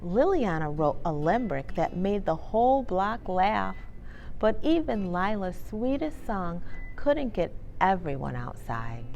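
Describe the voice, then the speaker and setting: A woman host reading a children's book aloud in an animated, expressive storytelling manner. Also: mid-range voice. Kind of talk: expressive storybook read-aloud with casual introduction. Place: inside a bookstore.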